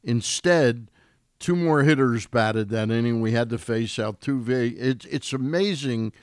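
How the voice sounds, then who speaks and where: A man's voice: medium pitch, a little slow, darkish tone, dry recording. A man commenting on sports in a conversational way in a radio studio.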